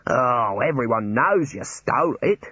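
A man's voice: medium pitch, expressive, unhurried, bright, forceful tone, breathy edge, dry recording, muffled recording, heavily acted. A man playing a hearty, good-natured prison jailer in an audiobook, with an Australian accent and cheerful, matey slang. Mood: happy, admiring.

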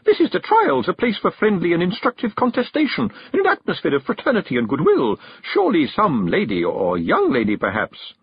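Storybook hostess witch, played by a man: high, swooping, gushing and posh, brightly strained and flustered. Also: wildly swooping pitch, fairly quick, hardly any pauses, husky, no room sound, lo-fi sound, heavily acted. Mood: almost frantic. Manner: trilling, gushing, strained cheerfulness.

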